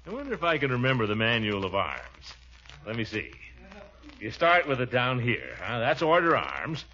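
An American actor playing a pompous, chuckling, good-natured middle-aged uncle in a 1940s style radio comedy. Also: male voice, medium pitch, huge pitch swings, moderate pace, sharp tone. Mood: genial showing off. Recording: dull old radio recording.

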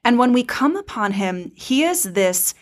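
A woman's voice: fairly high pitch, big pitch swings, unhurried. A woman hosting a podcast, discussing and asking questions in a casual fan-chat style. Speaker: podcast host.

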